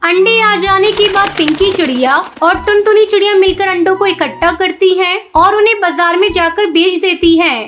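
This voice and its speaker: Very high-pitched woman storyteller. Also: normal speed, hardly any pauses. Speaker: narrator. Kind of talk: scripted children's story narration. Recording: music behind the voice.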